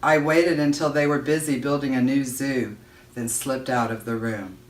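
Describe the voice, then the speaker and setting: A woman giving an expressive read-aloud of a children's novel, narrating as a storyteller. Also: extremely low pitch. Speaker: audiobook-style reader. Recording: roomy echo, distant microphone.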